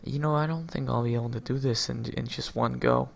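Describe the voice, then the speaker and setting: A man's voice: medium pitch, fairly even intonation, fairly quick, vocal fry. A man reading a movie script aloud as an amateur, announcing names and stage directions and stumbling over words.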